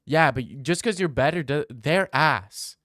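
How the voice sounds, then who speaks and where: A man's voice: a little high, animated, measured pace, fairly bright tone, no room sound, clean studio sound. A young man live-streaming from home on a desk mic, bantering loosely with his chat and swearing freely. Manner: loose, profane banter, reads chat aloud and riffs.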